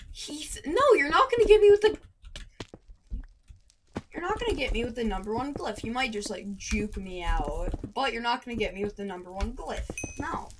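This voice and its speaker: A woman's voice: fairly high pitch, noisy background. A woman chatting casually while gaming, rambling through a story and breaking off to react to the game. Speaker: gaming commentator. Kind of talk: casual storytelling over live gameplay. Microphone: desk microphone at a computer. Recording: keyboard and mouse clicks throughout.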